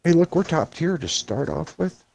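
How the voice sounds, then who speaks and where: A man's voice: on the high side, animated, normal speed, vocal fry, darkish tone, breathy edge, no room sound. A man in his fifties playing along on a gaming stream, joking, teasing and poking fun at his own age. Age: 50s. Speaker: co-player on stream. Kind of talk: casual gaming banter.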